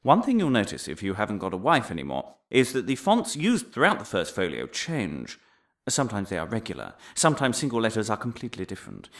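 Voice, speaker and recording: Pompous, wildly swooping crackpot professor. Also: male voice, fairly low pitch. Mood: smug, pedantic. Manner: pompous, theatrical, with dramatic pauses and sudden outbursts. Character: pompous crackpot male professor lecturing on Shakespeare conspiracy. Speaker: lecturer. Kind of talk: comic monologue as a mock lecture.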